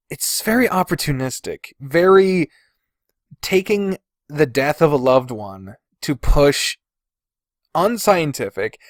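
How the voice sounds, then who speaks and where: A man's voice: on the high side, no room sound, studio quality. A man hosting a podcast, giving blunt, casual opinions with plenty of swearing and exasperated emphasis. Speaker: podcast host.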